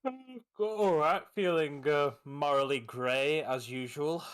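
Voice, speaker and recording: A man with a slightly raised pitch co-hosts a casual podcast. His humor is dry and sarcastic, and he delivers his jokes flatly. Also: unhurried, clear, resonant tone. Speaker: podcast co-host. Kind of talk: casual chat.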